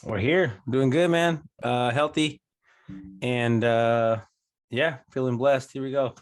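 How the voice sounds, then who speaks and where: A man's voice: mid-range voice, fairly even intonation, slow pace, clean tone. A man co-hosting a soccer podcast from home, chatting in an easygoing way with plenty of "you know" and "man". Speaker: podcast co-host. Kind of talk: casual podcast chat.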